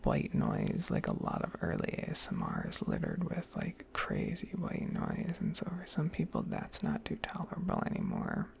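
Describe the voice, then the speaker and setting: A young woman speaking softly in a breathy, mostly whispered ASMR style, rambling reflectively with hesitant pauses and asides. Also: very deep voice, wildly swooping pitch, a little hiss or hum. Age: young adult. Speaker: ASMR video creator. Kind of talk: soft-spoken ASMR, rambling personal talk.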